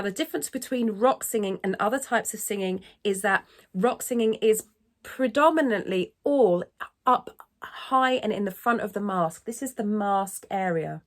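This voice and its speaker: A woman singing teacher giving an upbeat, encouraging lesson to camera, now and then dipping into vocal fry. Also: medium pitch. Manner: dips into vocal fry when speaking. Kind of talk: instructional talk to camera.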